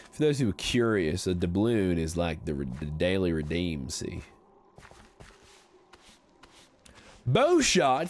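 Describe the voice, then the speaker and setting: A man's voice: sits low, a little hiss or hum, pitched below the performer's own voice. An Australian man voicing a friendly, polite cowboy with a put-on American Southern accent, chatting casually and folksily as he streams a game. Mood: easygoing, welcoming. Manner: folksy, courteous, rambling. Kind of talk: casual livestream chat over gameplay.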